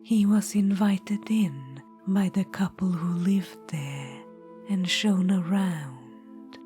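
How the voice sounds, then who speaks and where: A woman voicing an ancient, proud witch-queen in an ASMR fantasy roleplay, whispering softly into a close mic and calling the listener darling. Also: on the low side, slow pace, faint music behind. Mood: storytelling. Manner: soft unvoiced whisper throughout.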